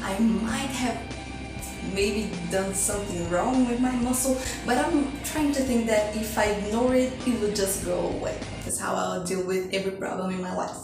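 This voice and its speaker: A woman's voice: mid-range voice, fairly even intonation, moderate pace, recorded from a distance. A young woman from Brazil with a Brazilian Portuguese accent, talking in a chatty, self-deprecating way to her own camera. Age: young adult. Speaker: vlogger. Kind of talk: candid personal vlog monologue. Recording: echoey room, off-mic, music under.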